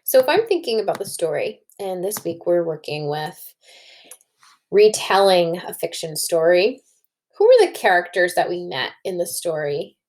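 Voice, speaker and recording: A woman's voice: on the low side, very animated, moderate pace, darkish tone. A woman teacher doing a children's read-aloud and story discussion, thinking aloud, recorded indoors at a computer.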